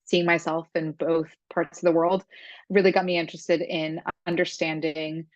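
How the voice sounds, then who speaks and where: A woman's voice: low-pitched, little pitch movement, normal speed, no room sound. A woman in her thirties, from Serbia and raised partly in the US, reflectively introducing herself as a panelist. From Serbia, later the US. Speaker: career panelist, executive director. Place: room at home, video call. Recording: slightly dull video-call audio.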